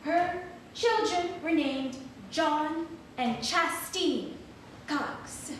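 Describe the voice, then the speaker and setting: A woman's voice: high voice, drawn-out words. A woman giving a dramatic reading as a solemn daughter searching for scattered relatives. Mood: solemn, deliberate. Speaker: stage reader. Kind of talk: dramatic reading of historical letters. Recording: very echoey hall, distant, faint noise.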